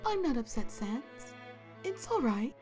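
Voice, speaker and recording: A woman playing a kind, naive, motherly goat-woman goddess in a comic dub, speaking gently and formally. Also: high voice, sing-song, measured pace, faint music behind. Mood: tender. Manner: gentle, formal, careful phrasing. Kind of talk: fan comic dub voice acting.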